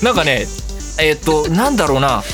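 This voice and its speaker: A man in his thirties hosting a casual, comedic solo radio talk, rambling in an animated, jokey way with exclaimed asides. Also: on the high side, fairly quick, soft background music. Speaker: radio show host.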